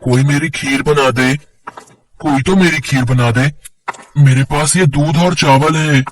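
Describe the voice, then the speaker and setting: A man playing a gentle, benevolent child-form god in a cartoon story, speaking earnestly and coaxingly. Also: a little high, fairly even intonation, a little slow, far from the mic, a little hiss or hum, natural voice. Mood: pleading.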